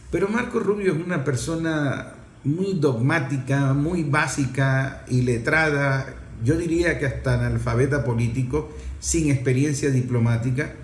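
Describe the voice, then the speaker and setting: A man's voice: medium pitch, a little mellow. A man giving unscripted political commentary in an emphatic, opinionated and explanatory manner. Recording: echoey room, faint background noise.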